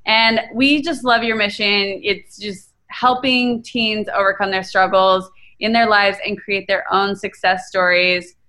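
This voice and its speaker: A woman's voice: mid-range voice, fairly even intonation, a little slow, few pauses, sharp tone. A young woman co-hosting a podcast, upbeat and enthusiastic as she interviews a guest. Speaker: podcast co-host. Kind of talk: scripted intro and interview.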